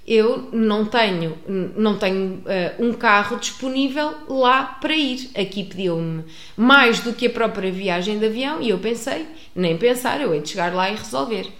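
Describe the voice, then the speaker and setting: Lively European Portuguese woman storyteller. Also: on the high side. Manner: expressive, acts out dialogue, frequent restarts. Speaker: speaker telling a personal travel story to an audience. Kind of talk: animated storytelling. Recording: roomy echo.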